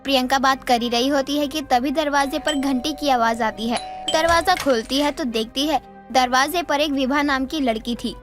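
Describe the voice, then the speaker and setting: A woman's voice: sits high, moderate pace, a bit bright. A woman narrator reading a scripted moral story. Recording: music under the voice.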